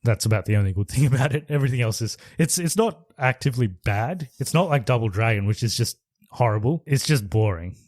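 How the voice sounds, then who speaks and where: A man's voice: medium pitch, expressive, brisk, a little mellow, no room sound, studio quality. A man co-hosting a podcast, casually retelling a movie plot in a loose, rambling way with restarts. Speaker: podcast co-host. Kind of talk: casual chat reviewing movies.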